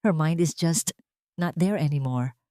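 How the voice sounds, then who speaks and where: A woman's voice: deep voice, unhurried, dark tone, no room sound, clean studio sound. A woman voicing a cruel daughter-in-law in an audio drama who feigns patience, sounding put-upon and mixing English with Tagalog. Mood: weary, feigned.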